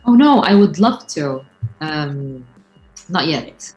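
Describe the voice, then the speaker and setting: A woman's voice: low-pitched, very animated, slow, a little mellow, lo-fi sound, faint music behind. A woman hosting a livestream, asking an illustrator questions over a video call in an upbeat, casual way with many fillers. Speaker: livestream host. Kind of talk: casual interview chat. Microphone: video call from home.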